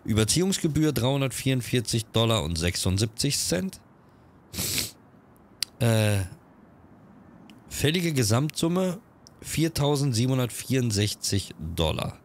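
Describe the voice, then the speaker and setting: A man with a cold, chatting quickly through casual gameplay commentary and reading on-screen text aloud. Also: mid-range voice, very animated. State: has a cold. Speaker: let's-play gamer.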